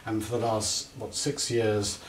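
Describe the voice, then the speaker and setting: A British man hosting a casual chat show, putting questions in a halting way with pauses mid-sentence. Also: lowish voice, level tone. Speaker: chat show host, interviewer. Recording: echoey room, distant mic, faint background noise.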